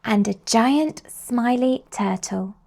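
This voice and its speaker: A woman reading picture books aloud to young children in an expressive, slow storytelling manner. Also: a little high, vocal fry. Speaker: children's storybook reader and host.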